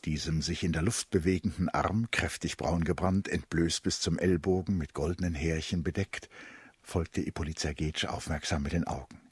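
Very deep-voiced male narrator, literary radio drama. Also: steady tone, husky, no room sound. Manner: measured, contemplative. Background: plucked string music.

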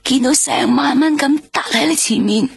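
An actress playing a weary young nightclub hostess in a radio drama, speaking Hong Kong Cantonese, tired and defensive, sometimes dropping to a whisper. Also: female voice, high voice, moderate pace, gravelly, no echo, clean studio sound. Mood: weary, bitter.

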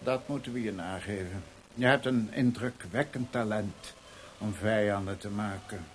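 An actor in a radio play voicing a scornful, moralising, nosy theatre official, disdainful and knowing. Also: male voice, on the low side, normal speed, close to an everyday voice. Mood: cold disdain. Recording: noisy archived radio play recording.

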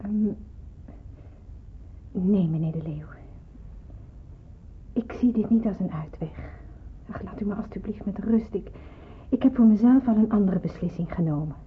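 A woman's voice: medium pitch, measured pace, long pauses, lightly acted. Woman acting a hesitant young nurse, thin old recording. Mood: sad, firm. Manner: hesitant, earnest. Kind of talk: radio drama acting. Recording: muffled, narrow-band old radio play, hissy.

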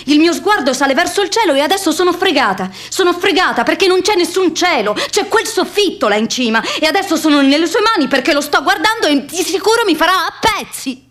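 A woman's voice: extremely high pitch, lively intonation, brisk, few pauses, fairly bright tone. An actress playing a restless, imaginative young American woman in an introspective, vivid radio theatre monologue. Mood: desperate, agitated. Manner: introspective, vivid first-person storytelling. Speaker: stage actress.

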